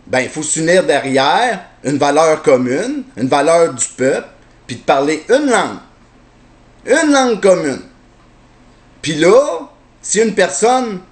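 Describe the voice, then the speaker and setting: Heated Québécois man ranting. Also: fairly high pitch. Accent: Québécois French. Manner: heated, sarcastic, repetitive rhetorical questions. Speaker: commentator. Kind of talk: opinion monologue to camera.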